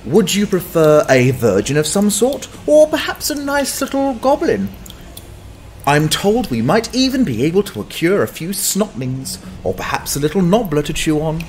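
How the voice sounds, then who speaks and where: An actor playing a hapless, wry adventurer who mutters and stammers in a dramatized fantasy audiobook. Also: male voice, on the high side, very animated, normal speed, a little mellow, slight background noise. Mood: nervous bluffing. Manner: muttering to himself, stammering, sardonic.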